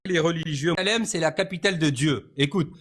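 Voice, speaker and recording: A man's voice: fairly high pitch, quick pace, fairly bright tone. A man from France arguing religion in a heated debate, insistent and repeatedly demanding to finish his point.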